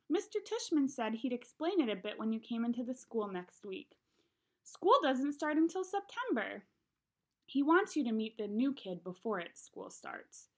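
Woman doing a coaxing storybook mom, gently insistent, really animated pitch. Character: earnest, coaxing mother of a young boy.

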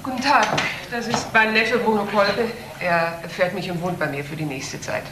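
A woman's voice: fairly even intonation, normal speed, far from the mic, lightly acted. Actress as stern older lady doctor, crime drama; curt authority, noise behind. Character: stern, proud woman doctor, a young man's aunt. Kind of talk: German crime drama acting. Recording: background noise, car rumble in places.

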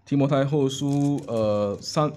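A man's voice: mid-range voice, measured pace, darkish tone. Hesitant man interpreting a sermon. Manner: hesitant, many filler sounds and restarts. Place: church sanctuary, seated at the front table. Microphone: table microphone.

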